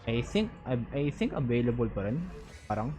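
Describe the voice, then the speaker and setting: A man's voice: mid-range voice, big pitch swings, a little fast, warm tone, soft background music. A man with a Filipino accent chatting casually on a game livestream, often repeating words as he thinks aloud. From Philippines. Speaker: game streamer. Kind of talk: casual livestream gameplay chat, mixing Tagalog and English.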